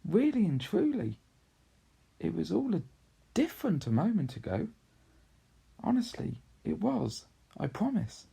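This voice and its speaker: A man plays a little girl in a storybook, lifting the pitch well up. The girl is earnest and excitable, eager to be believed, with a slightly rough edge to the voice. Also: unhurried, pauses often, full character voice. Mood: pleading.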